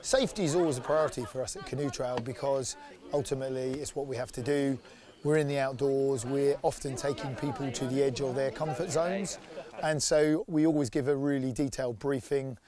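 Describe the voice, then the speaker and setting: A man's voice: medium pitch, fairly even intonation, brisk, a crowd in the background. A man in his forties, an outdoor activity company director, talking enthusiastically in a promotional interview outdoors in a sunny woodland, on a lapel mic. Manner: enthusiastic, upbeat.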